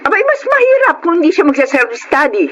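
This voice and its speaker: A woman's voice: extremely high pitch, sing-song, brisk, fairly bright tone, exaggerated voice. An actress playing a middle-aged, overworked Filipino mother in a radio drama, scolding and complaining. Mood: exasperated. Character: nagging, overworked mother, exasperated with her son. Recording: noisy radio drama track.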